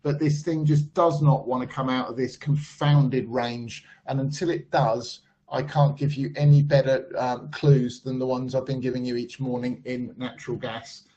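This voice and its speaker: A man at mid pitch walks through price charts in an unhurried, thinking-aloud way, filling gaps with ums. His voice is getting hoarse. The recording sounds slightly dull and lo-fi. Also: flat delivery, mellow. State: losing his voice. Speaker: market analyst. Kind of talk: screen-share chart commentary.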